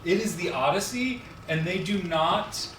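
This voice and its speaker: A man's voice: sits high, measured pace, a bit bright. A man from Louisiana chatting casually in a hesitant, rambling way. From Louisiana, USA. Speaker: guest on a charity livestream. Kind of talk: casual chat. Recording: roomy, distant mic with clear background noise.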